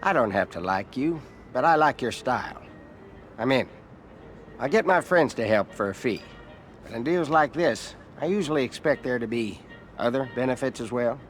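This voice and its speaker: An actor playing an arrogant, condescending American senator in a video game cutscene, blunt and haughty in manner. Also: male voice, medium pitch, expressive, normal speed, a little hiss or hum, natural voice. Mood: pleased, scheming.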